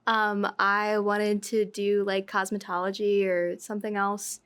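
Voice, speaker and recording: Relaxed young woman, college senior. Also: mid-range voice, measured pace. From New Jersey, USA. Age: young adult. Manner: relaxed, many "um"s. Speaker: senior undergraduate student. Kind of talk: casual panel talk.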